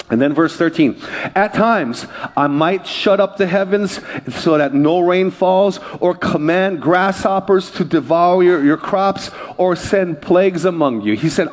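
Male pastor, passionate preacher who works the room, pitched a bit high. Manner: energetic preaching with crowd call-outs. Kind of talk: sermon.

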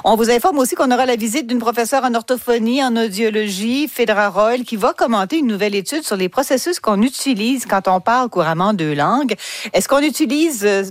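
A woman's voice: on the high side, expressive, a little fast, dry recording. A woman with a Québécois French accent hosting a radio science magazine in a studio, chatty and playful as she introduces segments. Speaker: radio science show host. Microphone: studio microphone.

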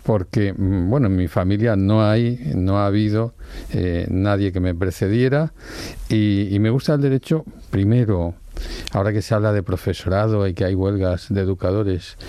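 Senior male jurist in his sixties, Valencian first language, deep mellow tone, measured explainer. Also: clear, resonant tone, no echo. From Spain. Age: 60s. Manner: measured, explanatory, pauses to rephrase. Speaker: Supreme Court judge and law professor. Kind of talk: reflective interview answers.

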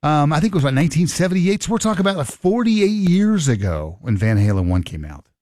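Man in his late fifties, radio host, lively pitch, dry close studio sound, rambling chat. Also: fast talker, dry recording. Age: 50s. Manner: rambling, repeats words and restarts, teasing. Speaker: radio talk show host. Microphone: studio microphone.

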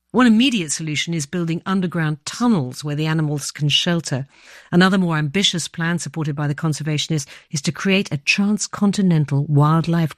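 A woman's voice: deep voice, no echo, clean studio sound. A woman narrating a scripted radio report as a foreign correspondent.

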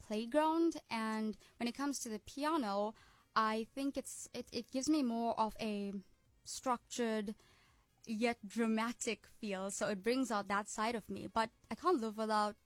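Radio guest: bright young woman with an American-sounding accent, cheerful and chatty, slightly high, dry close mic. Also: steady tone, no echo. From South Africa, Durban. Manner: cheerful, laughs often, quick asides. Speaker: guest musician. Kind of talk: radio interview.

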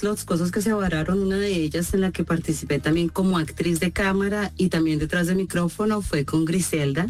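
A Colombian woman with a Colombian accent, an actress answering interview questions in an expressive, storytelling way. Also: sits low. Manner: expressive, storytelling with acted quotes. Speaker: actress guest.

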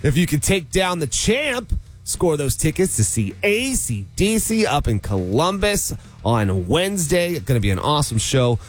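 A man's voice: a little high, sing-song, moderate pace, soft background music. A man hosting a morning radio show in casual chat, easygoing and chatty, sounding a little nasal and congested from a cold, with a slightly sore throat. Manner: easygoing, chatty, laughs often. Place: radio studio.

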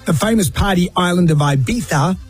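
A middle-aged man hosting drive-time radio on a studio mic, his voice pitched a touch high. He rambles and teases his co-host, with a faint music bed underneath. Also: animated, fairly quick, breathy edge. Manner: jokey, rambling, teasing. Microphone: studio microphone.

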